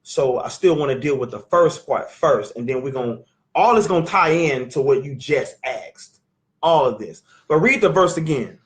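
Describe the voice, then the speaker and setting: High-pitched lively preaching man. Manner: emphatic, questioning, drawn-out teaching. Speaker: Bible teacher. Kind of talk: animated scripture discussion. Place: living room at a family sit-down.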